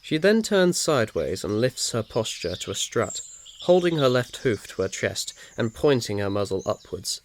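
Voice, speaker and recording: A man reading scripted second-person ASMR fiction as narrator in a soothing, measured manner. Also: medium pitch, very animated. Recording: faint noise, nature ambience behind. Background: birdsong, insects, nature ambience.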